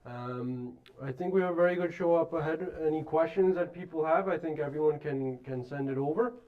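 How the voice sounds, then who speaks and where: A man's voice: fairly high pitch, a little fast. Casual male co-host, dull lo-fi sound. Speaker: co-host, real estate broker. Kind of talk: casual live-stream chat.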